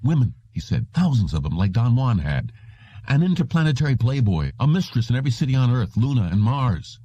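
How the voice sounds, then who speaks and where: A man's voice: mid-range voice, sing-song, a little fast, dark tone, dry recording. A man voicing a desperate, wry hunted former agent in an audiobook. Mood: desperate pleading. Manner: pleading, wry. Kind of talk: audiobook character reading. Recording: dull, muffled lo-fi audio.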